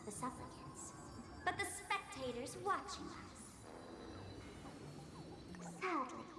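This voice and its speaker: A woman voicing a gleeful, theatrical female villain in a video game, with a playful, mocking, sing-song manner. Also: sits high. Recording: roomy game audio with music.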